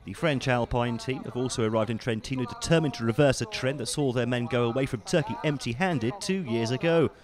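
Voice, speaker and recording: A man giving polished, scripted voice-over commentary on ski race highlights. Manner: measured, polished broadcast delivery. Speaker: sports commentator.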